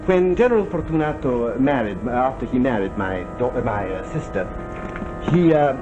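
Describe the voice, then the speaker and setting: An actor in a 1940s television drama playing a courtly, melancholy aristocrat who speaks formally and hesitantly, with condescending politeness. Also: male voice, medium pitch, lively intonation, normal speed, lo-fi sound, close to an everyday voice. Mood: solemn recounting. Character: courtly, melancholy old-world aristocrat telling of past wrongs. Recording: old 1949 broadcast with music behind.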